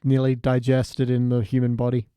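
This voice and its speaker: A man's voice: mid-range voice, monotone, moderate pace, warm tone, clear, resonant tone, dry recording. A man as a podcast guest in casual review chat, tossing in wry, dry asides.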